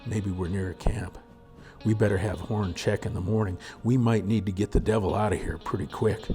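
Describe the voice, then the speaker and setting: A man voicing a gruff, exasperated frontier husband with folksy rural speech in a storybook reading. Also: on the low side, fairly even intonation, moderate pace, warm tone, faint music behind, natural voice. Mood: wary.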